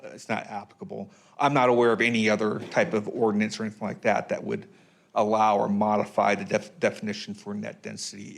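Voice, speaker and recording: Gravelly low-voiced man giving public comment. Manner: hesitant, many ums and restarts. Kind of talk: public comment at a council meeting.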